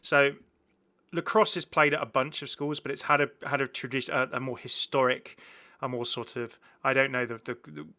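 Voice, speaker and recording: A British man hosts a gaming video in a bright, forward voice at a middling pitch. He talks casually and keenly, rambling through his points and often rewording them midway. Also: little pitch movement, normal speed. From United Kingdom. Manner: rambling, self-correcting, enthusiastic. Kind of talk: casual game commentary.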